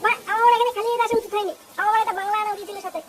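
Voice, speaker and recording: A woman voicing a crude, excitable village man in a comedy cartoon, slangy and blustering, with a sped-up cartoon sound. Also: very high voice, very fast, far from the mic, heavy background noise. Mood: complaining. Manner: slangy, foul-mouthed, blustering.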